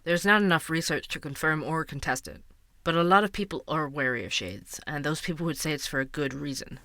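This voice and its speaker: Earnest podcast host played by a man, higher-pitched voice. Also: brisk, pushed and bright, no room sound, studio quality. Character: empathetic male investigative podcast host, earnest and thoughtful. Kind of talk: fiction podcast interview and narration.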